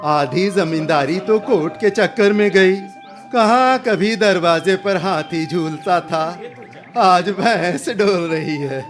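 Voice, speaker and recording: An actor playing an older, opinionated village uncle in a radio drama, measured, wistful and moralizing. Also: male voice, high voice, faint music behind. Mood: wistful, wry. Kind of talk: Hindi radio drama acting.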